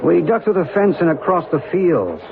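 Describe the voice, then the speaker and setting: An actor playing a learned, calm mystic guide in a radio drama, with measured, formal phrasing and quiet conviction. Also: male voice, a little high, vocal fry. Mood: calm, enigmatic. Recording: tinny old radio recording, faint noise.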